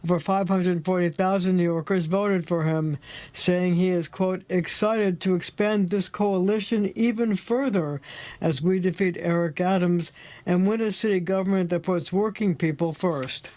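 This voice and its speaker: A man narrating a news report in a measured, clipped newsreader delivery. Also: sits high, a little mellow, dry recording, low-quality recording.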